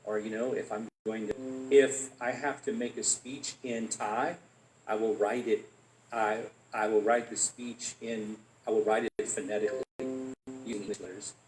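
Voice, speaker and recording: An adult man as guest speaker, answering questions and telling relaxed anecdotes in a conversational Q&A. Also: on the low side, normal speed, faint music behind. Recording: echoey room, faint noise.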